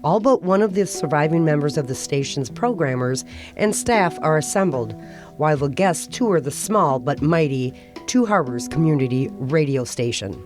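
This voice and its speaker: A man's voice: a little high, lively intonation, normal speed, close to an everyday voice. A man playing a formal narrator who sets the scene in a scripted audio drama. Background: plucked guitar music.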